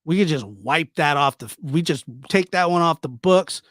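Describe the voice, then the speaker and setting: Casual male livestreamer, slightly high-pitched, dry sound. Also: normal speed, a bit bright, dry recording. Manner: informal, teasing, repeats himself. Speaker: livestream host. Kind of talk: casual live chat commentary, reading and answering viewer comments.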